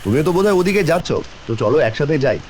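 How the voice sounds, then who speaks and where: A man's voice: on the high side, very animated, racing, darkish tone, a little hiss or hum. A man playing a friendly, plain-spoken village farmer in a cartoon, companionable in manner. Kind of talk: Bengali cartoon voice acting.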